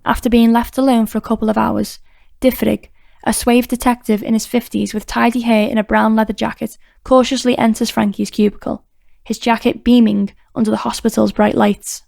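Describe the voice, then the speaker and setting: A woman's voice: fairly high pitch, little pitch movement, a little fast, gravelly, a little mellow, no room sound. A woman reading scripted narration for an audiobook drama.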